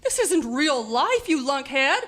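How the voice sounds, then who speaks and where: High-pitched, snappish starlet. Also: female voice, very animated, a little slow, a bit bright, full character voice. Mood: exasperated. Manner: snappish, sarcastic. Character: exasperated, haughty Hollywood starlet, adult woman. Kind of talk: parody of old radio serial acting.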